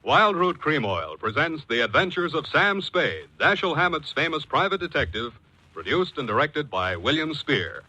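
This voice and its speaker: A male American announcer in classic 1940s radio style. His voice is bright and crisp-edged, with animated swings of pitch and a polished, emphatic sales delivery. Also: normal speed, lo-fi sound.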